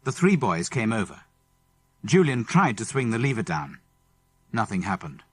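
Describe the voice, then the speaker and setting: A man's voice: on the low side, sing-song, moderate pace, breathy edge. A man with a British English accent narrating a children's adventure story dramatically. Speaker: audiobook narrator. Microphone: close studio microphone.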